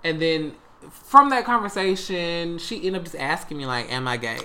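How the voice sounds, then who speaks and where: A man with a higher-pitched voice, chatting candidly and expressively as a podcast co-host. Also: moderate pace. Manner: expressive, bursts into laughter. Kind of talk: candid casual chat.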